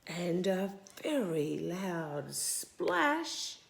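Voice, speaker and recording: A woman in her sixties reads a picture book aloud to young children in an expressive, deliberate way, stopping to put questions to them. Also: fairly low pitch, vocal fry. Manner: deliberate, animated, asking questions of young listeners. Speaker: storytime host reading a picture book to children. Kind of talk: expressive children's read-aloud with asides to the listener.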